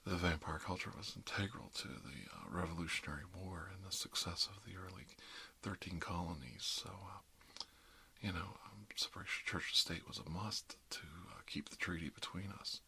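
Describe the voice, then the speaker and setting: A man's voice: deep voice, animated, moderate pace, creaky, bright, forceful tone, breathy edge, exaggerated voice. A man playing a chatty, friendly dentist in an improvised ASMR roleplay, talking in a hesitant, rambling way that drops into half-whispers. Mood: chatty, musing. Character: chatty, friendly emergency dentist treating monsters, mildly bigoted. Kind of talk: ASMR roleplay, improvised one-sided conversation.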